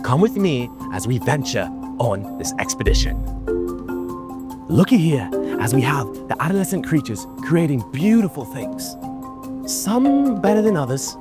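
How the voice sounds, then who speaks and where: A man's voice: fairly high pitch, sing-song, a little slow, over loud music. A man playing a self-important nature documentary presenter, in a mock documentary style. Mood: hushed, grandiose. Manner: pompous, theatrical. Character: pompous, self-important male nature documentary host, affected accent.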